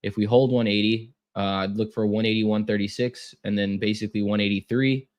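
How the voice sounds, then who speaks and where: A man's voice: lowish voice, fairly even intonation, fairly quick. A young man losing his voice, running a casual live trading stream with lots of fillers and repeated words.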